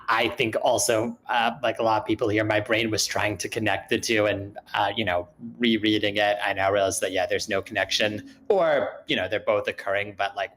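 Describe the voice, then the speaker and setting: Male, fairly low register, thoughtful critique in a group meeting, tentative delivery that loops back to rephrase. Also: fairly bright tone, poor audio. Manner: hesitant, circling back to rephrase points. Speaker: participant giving script feedback. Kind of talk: spoken critique in an online group discussion.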